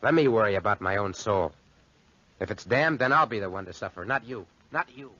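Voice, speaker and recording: Muffled old radio sound, callous young American schemer, lowish voice, sneering slang, cynical fortune hunter. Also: male voice, animated, fairly quick, bright, forceful tone, dry recording. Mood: cold, defiant. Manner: sneering, slangy tough-guy talk. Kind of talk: 1940s radio drama acting with first-person narration. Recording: muffled, band-limited 1940s radio recording.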